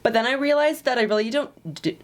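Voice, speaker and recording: A woman's voice: on the high side, expressive. A woman in her twenties with a stutter, talking casually and reflectively to camera as a vlogger, with frequent repeated sounds and restarts. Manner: slow, reflective and encouraging.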